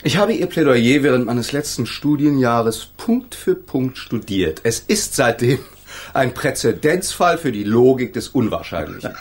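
Animated, proud young lawyer. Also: male voice, medium pitch, a little slow, slightly rough. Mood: precise, pointed. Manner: articulate, precise, pointed. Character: proud younger male lawyer, reserved and wry. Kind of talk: German radio crime drama acting.

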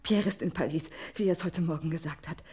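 Radio crime play: betrayed wife in denial, lowish breathy voice, theatrical, broken phrasing. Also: female voice, fast, a little mellow. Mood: defiant denial. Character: distraught, suspicious wife clinging to denial. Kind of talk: mid-century German radio crime drama acting.

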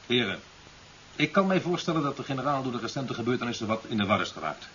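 An actor playing a stern, authoritative official in a 1970s radio drama, speaking in formal, measured, reproving phrases. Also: male voice, mid-range voice, very animated, fairly bright tone. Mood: firm. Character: stern, authoritative male official presiding over a hearing. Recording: dull, hissy old radio recording.

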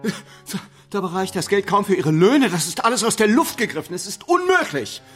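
An actor playing an anxious, easily wounded lover of a queen in a German radio play, with an agitated, halting, emotional delivery. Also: high voice, very animated, a bit bright, husky, soft background music, exaggerated voice. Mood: distressed, humiliated.

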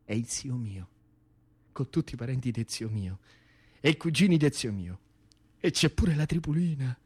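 A man's voice: mid-range voice, animated, normal speed, darkish tone, slightly rough. A man with a central Italian accent performing a dramatic radio theatre monologue as a storyteller in rustic dialect. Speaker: solo actor and storyteller.

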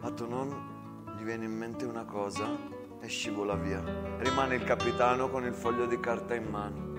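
A man's voice: medium pitch, steady tone, normal speed. A man narrating a war story as a theatrical monologue. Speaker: stage storyteller, actor. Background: acoustic guitar.

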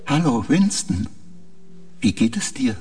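Troubled ship AI, slow and halting, pitch swings around, radio drama. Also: male voice, very animated, darkish tone, soft background music. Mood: flat, polite. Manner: deliberate, terse, halting, repeating phrases. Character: troubled, literal-minded spaceship artificial intelligence, male.

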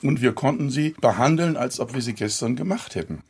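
A man's voice: mid-range voice, lively intonation, a little fast, a little mellow, slightly rough. A man, a sound engineer, recalling his work conversationally in an interview. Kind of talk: conversational recollection.

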